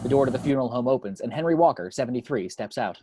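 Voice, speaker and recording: A man's voice: medium pitch, lively intonation, racing, background noise. A man reading screenplay action and scene headings as narrator for a table read at home. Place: at home, dry close recording.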